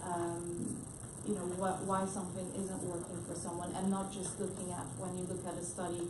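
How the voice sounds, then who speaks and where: A woman's voice: lowish voice, completely flat delivery, moderate pace. A woman speaking as a researcher on a panel, thoughtful and hesitant, with frequent restarts. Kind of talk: panel discussion remarks. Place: conference room, panel event. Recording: echoey room with noise.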